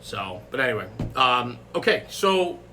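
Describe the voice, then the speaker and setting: A man's voice: mid-range voice, sing-song, a little slow, background noise. A man hosting a podcast in casual, emphatic conversation, often checking in with his listeners. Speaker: podcast host. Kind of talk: casual chat.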